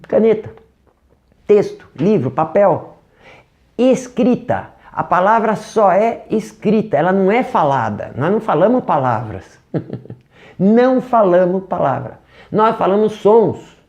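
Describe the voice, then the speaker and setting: An English teacher with a Brazilian Portuguese accent gives an online lesson, animated, asking rhetorical questions and demonstrating sounds. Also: mid-range voice, measured pace.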